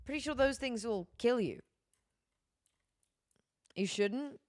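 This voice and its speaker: A tired young woman streaming, chatting casually with her chat, her voice going hoarse. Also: fairly high pitch, animated, slow pace, darkish tone. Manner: rambling, chatty, many fillers.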